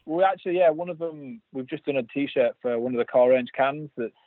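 A man's voice: mid-range voice, fairly quick, dry recording. A man with a Yorkshire accent, a guest chatting casually and easily in a remote interview, a bit self-deprecating. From England. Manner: easygoing, chatty, self-deprecating, many fillers. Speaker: guest, brewery designer. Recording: dry, phone-like line.

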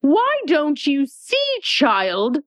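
A woman voicing a stern, sharp-tongued queen in a storybook reading, slow and scolding. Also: high voice, wildly swooping pitch, no room sound, clean studio sound, pitched above the performer's own voice, full character voice.

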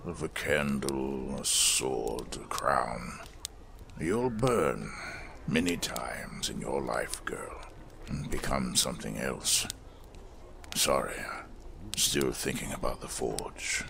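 An actor playing a weathered, solemn smith and former fire preacher in a fantasy audio drama, speaking in a gravelly, measured, reflective way. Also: male voice, extremely low pitch, lively intonation, bright, forceful tone, a little hiss or hum, heavily acted. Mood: wistful, solemn.

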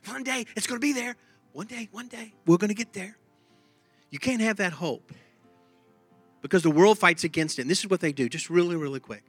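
A man's voice: high-pitched, a little fast, long pauses, breathy edge, no echo, soft background music. A man with a Texan accent preaching a sermon in an emphatic, conversational way, often repeating his phrases. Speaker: pastor.

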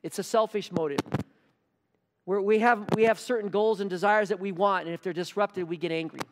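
A man's voice: sits high, steady tone, a little fast, in a large hall, a little hiss or hum. A man preaching a sermon as pastor, earnest, repeating key words for emphasis. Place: church hall.

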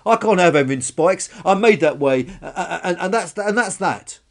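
A vain, spiky storybook she-dinosaur, acted out by a man, pitched high and pushed loud. She stammers through her grievances, weepy, defensive and full of bluster. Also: animated, a little fast. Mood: indignant.

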